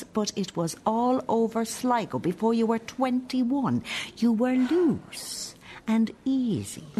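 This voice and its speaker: An actress with an Irish accent playing a stern, bitter, defensive older nun in a radio play, sounding accusing and sanctimonious. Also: female voice, mid-range voice, a little mellow, lightly acted. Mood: scornful. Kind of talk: radio play acting, monologue and dialogue.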